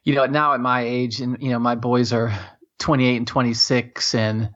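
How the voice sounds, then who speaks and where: A man's voice: mid-range voice, level tone, a bit bright. Guy in his 50s rambling on a podcast, lots of "you know", kinda dull muffled sound. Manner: rambling, halting, full of fillers. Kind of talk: casual chat.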